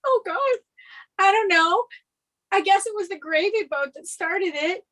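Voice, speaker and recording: A woman's voice: very high-pitched, lively intonation, measured pace, bright, forceful tone, full character voice. A woman playing a flustered, anxious young runaway bride in a sitcom table read. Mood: frantic.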